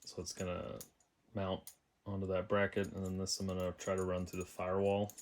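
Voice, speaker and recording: A man's voice: deep voice, completely flat delivery, brisk. A man giving a casual, unscripted how-to as a DIY vlogger, thinking aloud as he goes. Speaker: DIY vlogger installing a car radio.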